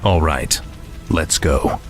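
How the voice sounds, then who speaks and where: A man's voice: very deep voice, slow pace, over music. An actor playing a grim male undead death knight in a video game. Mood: grim, resolute. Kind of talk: video game cutscene acting.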